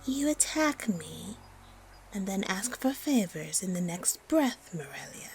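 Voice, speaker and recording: A woman playing a haughty, suspicious, disdainful spider monster in a fantasy audio roleplay, with a breathy, often whispery voice. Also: medium pitch, sing-song, a little mellow, a little hiss or hum, poor audio. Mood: cold, suspicious. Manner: slow, disdainful, haggling.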